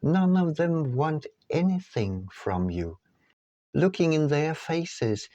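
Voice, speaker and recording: A man reading his own book aloud as scripted audiobook narration, in measured, deliberate phrases. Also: fairly high pitch, warm tone, no room sound, dull audio.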